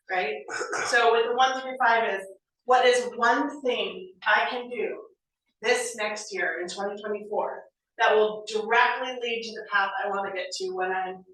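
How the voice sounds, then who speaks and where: Name: none. Sex: woman. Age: 40s. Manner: upbeat, bright, chatty. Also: fairly high pitch. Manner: lively, conversational, keeps checking in with "right?". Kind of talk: motivational training talk. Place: office meeting room. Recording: very echoey room, mic far off, faint noise.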